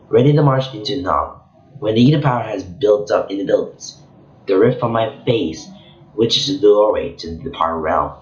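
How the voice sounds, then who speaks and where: A man from Venezuela, hoarse from losing his voice, reading a story chapter aloud in a casual, halting way with frequent slips. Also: lowish voice. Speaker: channel host reading a story. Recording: echoey room, dull lo-fi sound.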